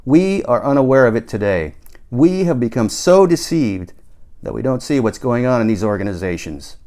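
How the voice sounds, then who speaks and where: A man's voice: mid-range voice, very animated, normal speed, dark tone, lightly acted. A man voicing an urgent, ranting middle-aged stoner conspiracy theorist in an audiobook, with emphatic, preachy delivery. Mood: insistent. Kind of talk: audiobook character dialogue.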